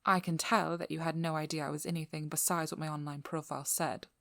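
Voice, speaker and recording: A woman playing a devious, vigilant vampire hunter in a scripted ASMR roleplay, teasing and controlling, with a breathy voice that drops into whispers. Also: low-pitched, little pitch movement, quick pace, fairly bright tone, no room sound. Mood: cool, probing. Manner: teasing, playful, coolly controlling. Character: devious, vigilant female vampire hunter, flirty then businesslike. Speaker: audio roleplay performer.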